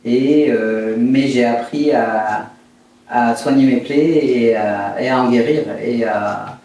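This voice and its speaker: A man in his fifties from Brittany, France, telling his life story in an interview in a hesitant, reflective, halting way. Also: medium pitch, dark tone. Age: 50s. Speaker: interviewed entrepreneur. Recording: very echoey room, distant mic, faint noise.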